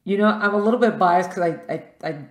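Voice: mid-range voice, fast talker, echoey room. A podcast host asking questions casually over a video call, with frequent encouraging backchannel. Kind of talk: casual interview chat.

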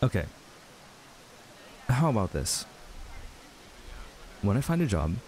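Young male played by a man for ASMR roleplay: stressed runaway, earnest and halting, dark mellow tone, gravelly edge. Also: fairly low pitch, low-quality recording. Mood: earnest, bargaining. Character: stressed young man, frustrated runaway reuniting with childhood friend. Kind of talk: intimate ASMR audio roleplay.

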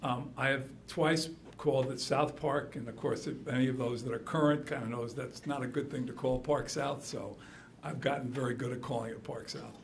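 A man presenting to a city council from a podium microphone, measured and full of ums, with small self-deprecating asides. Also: mid-range voice, steady tone, creaky, a little hiss or hum. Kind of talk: formal public meeting remarks. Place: council chamber, standing at podium.

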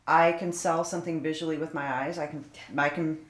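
A woman actor talking loudly and candidly in a casual roundtable, poking fun at herself. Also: low-pitched, level tone, a little fast. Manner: loud, candid, self-deprecating. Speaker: actor on a panel. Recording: roomy, echoey room.

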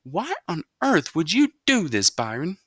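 A man's voice: fairly high pitch, wildly swooping pitch, unhurried, fairly bright tone, slightly rough, dry recording, studio quality, voice pushed up, heavily acted. A man voicing a stern, exasperated mother scolding her son in a storybook reading.

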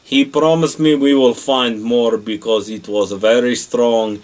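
A man's voice: mid-range voice, fairly even intonation, measured pace, a little mellow, far from the mic, lightly acted. A man playing a boastful, jokey Russian character in a comedy monologue, speaking broken English with a Russian accent and calling himself by name. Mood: deadpan boasting. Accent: Russian (put on). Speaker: comedic character rating things.

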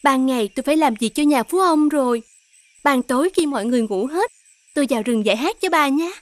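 A woman voicing a gentle, earnest young servant girl in an animated fairy tale. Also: sits high, quick pace, no room sound, close to an everyday voice. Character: gentle young servant girl who sings folk songs. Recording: music behind the voice.

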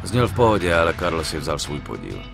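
An actor playing a level-headed, practical young mobster with a coarse, urgent way of talking in a dubbed game. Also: male voice, a bass voice, big pitch swings, gravelly, a bit bright, soft background music, heavily acted. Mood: tense, businesslike. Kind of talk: dramatic game dub acting.

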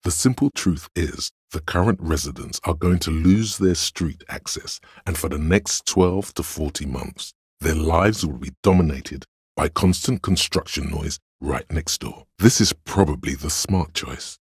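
A very deep, husky voice of logic. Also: male voice, no echo, studio quality, full character voice. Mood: dry, analytical. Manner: measured, deliberate, dryly wry. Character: voice of Logic, a cool analytical inner voice in a detective's head. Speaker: game narrator. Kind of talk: scripted second-person game narration.

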